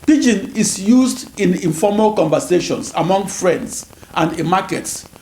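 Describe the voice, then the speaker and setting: A Nigerian man in his sixties, whose first language is Igbo, gives a scripted lecture in a measured, deliberate way, sometimes correcting himself. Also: high voice, lively intonation, a little mellow. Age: 60s. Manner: measured, deliberate delivery with occasional self-corrections. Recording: roomy echo with faint static.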